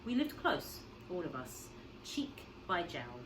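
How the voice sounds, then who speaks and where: Woman, lowish voice, expressive children's story reader, dramatic swings in pitch, noticeable background noise. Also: slow. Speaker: schoolteacher reading a story to pupils. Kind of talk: expressive read-aloud of a children's novel.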